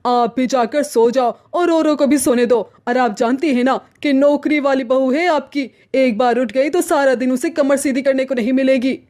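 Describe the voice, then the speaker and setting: Woman voicing a high-pitched exasperated young husband. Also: normal speed, hardly any pauses, a little mellow, natural voice. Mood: irritated. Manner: complaining, reasoning. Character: adult son and young husband, exasperated, protective. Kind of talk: cartoon story voice acting.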